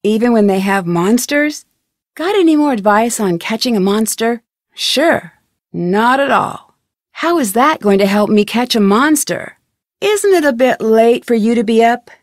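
An actress playing a curious, polite young female detective in an adventure game, asking questions in a clear, inquisitive way. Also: fairly high pitch, animated, measured pace, no room sound, clean studio sound. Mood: friendly, curious. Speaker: video game protagonist. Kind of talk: scripted adventure game dialogue. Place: studio.

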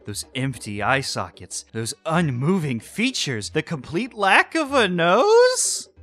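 A woman's voice: deep voice, wildly swooping pitch, takes it slowly, a bit bright, faint music behind, exaggerated voice. A woman voicing an earnest, gullible, melodramatic young boy in a parody game dub. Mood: solemn, dramatic. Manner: melodramatic, solemn. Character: earnest, dramatic, gullible boy having identity crisis.

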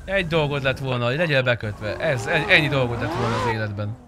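Male gamer, medium pitch, casual team chat, heavy game noise throughout. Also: expressive. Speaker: roleplay gamer. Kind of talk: casual gaming chat with friends. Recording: loud game noise behind voice.

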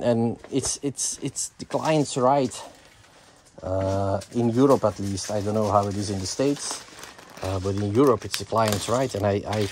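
Low-voiced man rambling while working. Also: a little mellow, a little hiss or hum. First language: Dutch. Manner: rambling, many fillers and restarts, muttering to himself. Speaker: online brick store owner. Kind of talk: casual chat while working. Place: cluttered attic storeroom, indoors. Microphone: body-worn camera.

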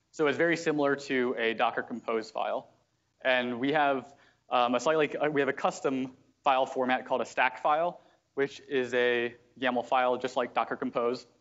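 A man explaining software step by step in a live technical demo at a meetup, with frequent fillers. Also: medium pitch, highs cut off. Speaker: software engineer giving a product demo. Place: meetup room at a company office.

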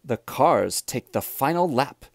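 A man reads a children's storybook aloud with deliberate, expressive phrasing. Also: medium pitch, creaky, dry recording. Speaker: storybook reader.

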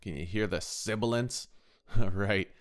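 A man hosting a casual software tutorial from a kitchen, chatty and informal, often checking in with questions. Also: fairly low pitch, unhurried. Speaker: tutorial host, touring guitar tech.

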